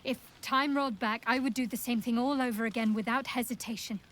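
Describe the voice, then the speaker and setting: A woman playing a devout, commanding young dark priestess in a video game, speaking in a measured, formal, wry and intimate way. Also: on the high side, fairly even intonation, close to an everyday voice. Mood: sober, reflective. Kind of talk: video game character dialogue. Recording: game dialogue over background music. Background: music.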